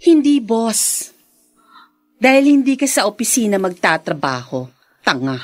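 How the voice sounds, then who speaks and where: A rich, vengeful lady boss in a Filipino radio drama. Her voice sits fairly high and swings widely, with slow, savoured taunts. The delivery is mocking and theatrical. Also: very animated, dry recording, studio quality. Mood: taunting. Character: vengeful, gloating rich young woman boss.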